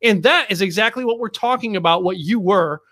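High bright-voiced guy hosting a chat, keeps saying you know, restarts a lot. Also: brisk. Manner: rambling, repeats words, lots of "you know". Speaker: podcast host. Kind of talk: casual interview chat.

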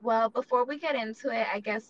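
A woman's voice: fairly high pitch, brisk, muffled recording. Upbeat woman podcast host, slightly distant. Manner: upbeat, reads listener comments aloud. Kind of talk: casual podcast chat.

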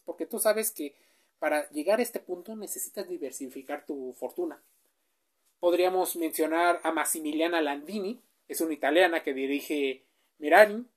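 A woman, giving a chatty explainer and often asking the listener questions. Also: low-pitched. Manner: chatty, asks the listener questions, with filler sounds. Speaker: presenter. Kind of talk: informal explainer speaking straight to the listener.

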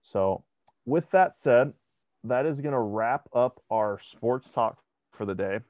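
A man's voice: mid-range voice, slow pace, a little mellow, no room sound. A young man co-hosting a podcast, chatting casually about sports and often restarting his words. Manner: conversational, repeats words when restarting. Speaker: podcast co-host. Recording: dry, dull lo-fi sound.

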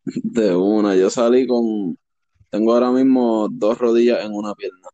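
A man's voice: lowish voice, steady tone, moderate pace, warm tone, no echo, dull audio. A twenty-one-year-old man with a Puerto Rican accent hosting a podcast, asking questions in easygoing slang and laughing often. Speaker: podcast host. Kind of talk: casual interview.

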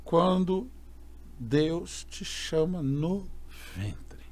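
A Brazilian man, a gospel singer guest, telling an animated story and acting out the voices of others. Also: on the high side, very slow, crowd noise. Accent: Brazilian Portuguese. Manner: expressive, acts out quoted voices.

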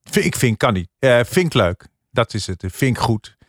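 A man with a Netherlandic Dutch accent chatting as a podcast panelist, in halting, hesitant phrases. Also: mid-range voice, big pitch swings, dry recording, clean studio sound. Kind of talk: casual chat.

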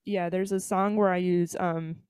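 A woman's voice: on the low side, fairly even intonation, a little slow, darkish tone, clear, resonant tone, dry recording. A woman in her twenties, a band's guitarist, talking in a playful, slangy way in a casual interview. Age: 20s. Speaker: band guitarist.

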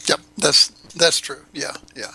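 A man's voice: fairly high pitch, steady tone, a little slow, vocal fry, bright, forceful tone, slight background noise. An older man, a podcast guest, chatting casually and bluntly, rambling with restarts and the odd swear. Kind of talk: casual interview chat. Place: Ecuador.

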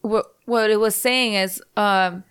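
A woman co-hosting a podcast in casual chat, blunt and quick to swear. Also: medium pitch, steady tone, a bit bright, smooth, faint music behind. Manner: blunt, swears freely, rambling. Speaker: podcast co-host.

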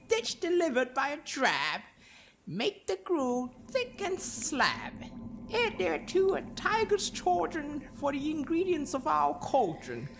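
Super high eerie witch, slow gleeful chanting, noisy recording. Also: full character voice. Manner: drawn-out, swooping incantation. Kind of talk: dramatic Shakespeare reading.

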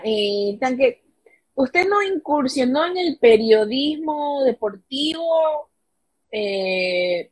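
Husky-voiced woman asking questions. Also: on the high side, a little mellow, rough recording. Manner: brief interjections, friendly questions. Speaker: co-host. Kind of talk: casual interview chat.

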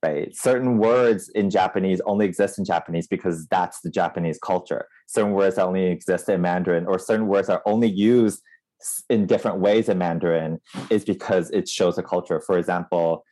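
A man's voice: sits low, brisk, warm tone. A man from Taiwan, a theater writer on a panel, speaking in a thoughtful, conversational way and explaining his points with examples.

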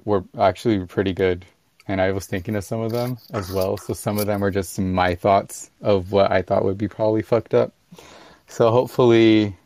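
Deep monotone man podcaster. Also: no echo. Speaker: podcast co-host. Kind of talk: casual chat.

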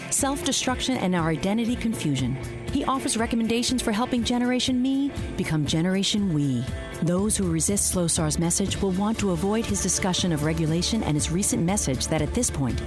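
A woman reading a scripted radio advertisement in a smooth, persuasive voice. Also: fairly low pitch. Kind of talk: radio ad voice-over. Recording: music bed behind.

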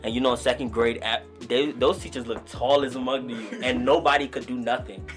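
A man in his twenties from Nigeria, a guest rapper telling stories in relaxed, slangy casual talk. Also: mid-range voice, fairly quick, faint music behind. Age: 20s. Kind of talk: casual podcast interview.

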